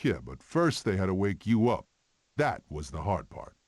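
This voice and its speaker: An actor playing a dry, skeptical animal companion in an animated game, speaking in a measured, reproving way. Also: male voice, deep voice, slight background noise. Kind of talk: animated film tie-in game character dialogue.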